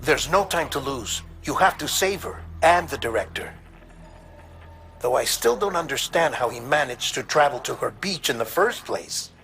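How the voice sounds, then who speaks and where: An earnest male investigator in a dramatic video game, with a medium-pitched voice. He briefs a colleague in a troubled, conspiratorial way, probing each theory with questions. Light music plays underneath. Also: expressive, moderate pace, gravelly, a bit bright. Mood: urgent, speculative. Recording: music behind the voice.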